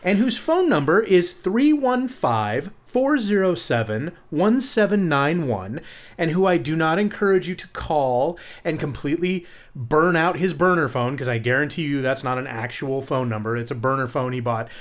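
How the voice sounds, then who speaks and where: A man's voice: a little high, animated, normal speed. A man co-hosting a podcast in casual chat, talking in a sarcastic, profane, ranting way. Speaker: podcast co-host.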